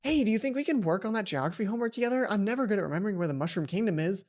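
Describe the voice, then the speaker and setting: A woman hosting a let's play, reading a visual novel aloud and adding chatty, informal, animated asides. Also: mid-range voice, rapid-fire. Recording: music behind the voice. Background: game music.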